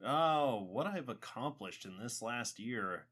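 Podcast guy w/ a radio announcer voice, bright and super lively. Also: mid-range voice, takes it slowly, husky. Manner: announcer-like voice, laughs often. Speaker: podcast co-host. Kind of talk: casual chat.

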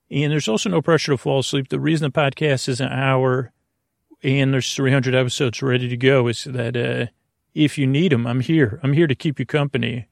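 A man with a gravelly, creaky voice at an ordinary male pitch hosts a sleep podcast in a close, dry recording. He rambles casually, stammering and wandering off into tangents. His manner is hesitant and gently reassuring. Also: dry recording. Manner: meandering, hesitant, self-interrupting with stammers and tangents. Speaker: podcast host.

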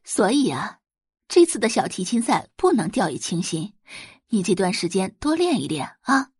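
A woman's voice: medium pitch, big pitch swings, normal speed, a little mellow, slightly rough, dry recording, clean studio sound. A woman voicing a proud, doting middle-aged mother who fondly instructs and fusses in an audio drama. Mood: instructing. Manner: fond, instructing, fussing.

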